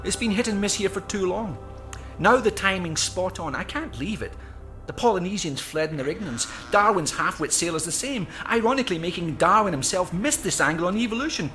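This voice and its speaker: An actor playing an obsessed, smug mad scientist in a video game, with a Scottish accent and a theatrical, grandiose manner. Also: male voice, high-pitched, lively intonation, fairly quick, pushed and bright, faint music behind. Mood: grandiose, determined. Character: obsessed, smugly excitable male mad scientist. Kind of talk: dramatic game cutscene acting.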